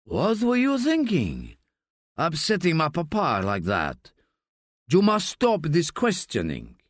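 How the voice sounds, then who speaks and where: A man voicing a nervous, defensive grown son who speaks in a tense, raised pitch. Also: huge pitch swings, slow pace, no room sound, clean studio sound, exaggerated voice. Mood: rebuking.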